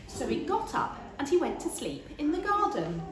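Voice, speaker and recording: A woman reads a children's story in a high voice. Her delivery is expressive and unhurried, rising and falling widely. The room sounds echoey. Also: a crowd in the background. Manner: deliberate, animated storytelling. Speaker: story reader.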